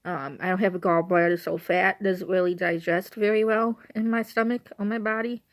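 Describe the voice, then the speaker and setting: A young woman with an Illinois accent and a hoarse voice and stuffy nose, chatting casually to a handheld camera at home and rambling as she repeats and restarts herself. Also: mid-range voice, fairly even intonation, moderate pace. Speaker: vlogger. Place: at home on the couch.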